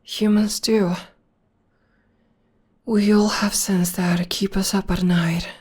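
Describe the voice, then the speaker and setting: A woman voicing a breathy, soft-spoken witch girlfriend. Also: mid-range voice, flat delivery, slow pace. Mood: tender, soothing. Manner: soft, soothing, often whispering. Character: gentle devoted young witch girlfriend. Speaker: voice actor. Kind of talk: ASMR comfort roleplay.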